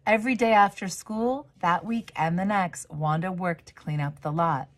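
A middle-aged woman with a low voice reads a children's picture book aloud. She tells the story expressively, in an animated way that suits young listeners. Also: moderate pace. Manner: animated, acts out the dialogue. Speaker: storyteller, reverend.

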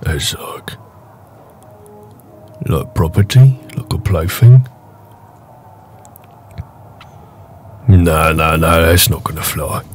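A man playing a big, gruff, protective orc raider with a wry, casual manner in a fantasy roleplay audio drama. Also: deep voice, darkish tone, slight background noise. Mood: indignant, protective. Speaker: voice actor.

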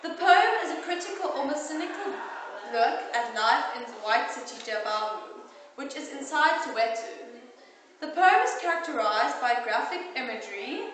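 A teenage girl giving a school oral presentation, reading from her notes in a measured way. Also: sits high, animated, fairly bright tone. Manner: measured, read-aloud delivery. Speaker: student presenter. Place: classroom in front of a projector screen. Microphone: far camera. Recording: echoey classroom from far off, noisy.

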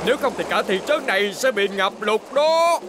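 A man playing an excitable, anxious buddy in a dubbed cartoon, urgent and pleading. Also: sits high, moderate pace, very noisy. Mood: alarmed.

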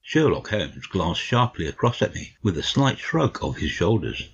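A man's voice: low-pitched, very animated, moderate pace, fairly bright tone, slightly rough. A man narrating a literary audiobook from a script. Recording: slightly dull, lo-fi audio.

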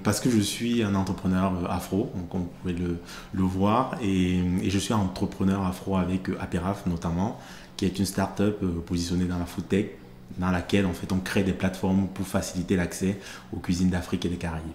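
A man in his thirties from Cameroon, being interviewed on a podcast and answering in a measured, thoughtful way with frequent hesitations, into a studio microphone. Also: deep voice, little pitch movement, darkish tone, reverberant, a little hiss or hum. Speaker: podcast host, interviewed guest. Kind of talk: conversational interview. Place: studio set with plants and glass-block wall. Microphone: studio mic on stand.

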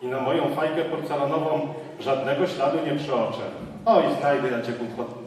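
An actor playing a loud, boastful male forester in a children's stage play. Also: mid-range voice, normal speed, a little hiss or hum, close to an everyday voice. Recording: echoey hall from far off, audience.

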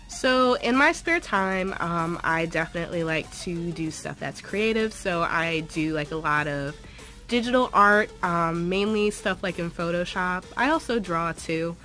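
Chatty young woman with a low voice. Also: fairly bright tone. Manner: chatty and enthusiastic, many fillers like "um" and "like". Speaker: dance group member. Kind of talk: casual vlog chat. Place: small room at home, indoors. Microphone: phone held at arm's length.

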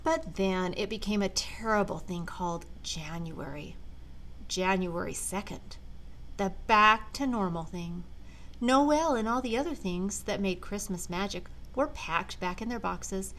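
An older woman, a grandmother, reading a children's storybook aloud with dramatic, deliberate phrasing. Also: medium pitch, fairly bright tone. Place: large living room at home.

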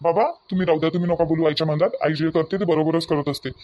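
A man's voice: on the high side, fairly even intonation, a little mellow, dull audio, a little hiss or hum, close to an everyday voice. A man voicing an earnest, anxious young grown son in a family cartoon, repeating himself, with a Varhadi Marathi accent. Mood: agreeable. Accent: Varhadi Marathi of Vidarbha. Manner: earnest, hurried, repetitive.

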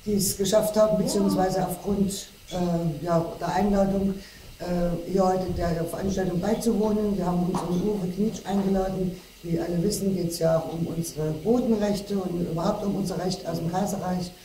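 A woman's voice: lowish voice, steady tone, moderate pace, dark tone. A woman organizer welcoming guests, hesitant and full of fillers. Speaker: event organizer. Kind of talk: welcoming speech. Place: darkened hall before a projection screen. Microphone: far camera. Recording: echoey noisy hall from far off.

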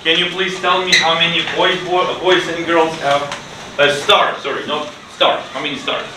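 A middle-aged man speaking as an English teacher, with deliberate, clearly enunciated delivery. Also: fairly high pitch, level tone, noisy background. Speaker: ESL teacher and video host. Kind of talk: presenting to camera and leading a children's class.

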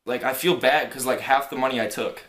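A man's voice: mid-range voice, fairly even intonation, brisk, fairly bright tone, a little hiss or hum. A young man, talking casually and slangily, as on a phone call to a friend. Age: teenager or young adult. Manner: slangy, peppered with "like". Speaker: prank caller in a challenge video. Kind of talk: casual chat and prank phone calls. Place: indoors in a living room on a couch. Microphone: camera a short distance away.

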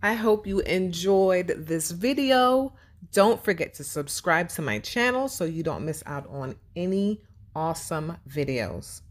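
A woman narrating a children's storybook and teaching young viewers in an animated, instructive way. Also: fairly low pitch, unhurried, slight background noise. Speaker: children's read-aloud host.

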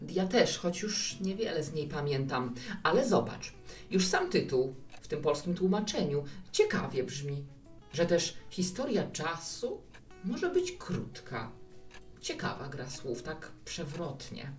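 A woman's voice: low-pitched, very animated, slow, darkish tone, full character voice. A woman playing a hospitable, reflective bar owner in a radio play, coaxing and musing as she talks. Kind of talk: Polish radio play dialogue. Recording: roomy, distant mic with music.

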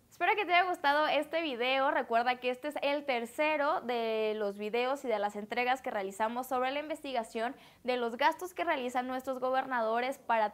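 A woman's voice: high-pitched, fairly bright tone. A young woman with a Mexican accent presents a scripted news explainer, speaking directly and explanatorily to the viewer. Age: young adult. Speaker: host of an online news explainer. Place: indoor green-screen studio. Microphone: lapel mic.

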